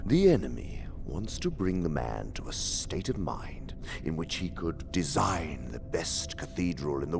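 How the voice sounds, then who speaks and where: Very deep, gravelly, haughty demon uncle. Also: male voice, a little mellow, exaggerated voice. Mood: reluctant disdain. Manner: pompous, theatrical, condescending lecturing. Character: senior male demon, haughty scheming uncle and mentor. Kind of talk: radio drama acting.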